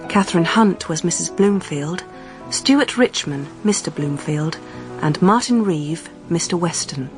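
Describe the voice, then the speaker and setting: Lower-pitched woman announcer over music. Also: lively intonation, measured pace. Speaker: announcer reading drama credits. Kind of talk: scripted broadcast announcement. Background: music, piano.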